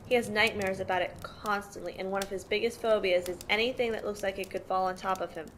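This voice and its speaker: A woman acting as a protective big sister with a medium-pitched voice. She is tough and matter-of-fact, explaining things like an interviewee in an amateur audio play. There is some noise on the recording. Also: steady tone, fast talker, fairly bright tone, natural voice. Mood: explanatory. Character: protective, tough sister mothering five troubled orphaned brothers. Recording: noisy amateur home recording.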